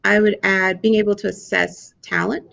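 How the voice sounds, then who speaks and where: Very creaky-voiced woman on a panel. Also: medium pitch, steady tone, moderate pace, fairly bright tone, muffled recording. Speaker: panelist, corporate leader. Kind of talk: thoughtful panel talk.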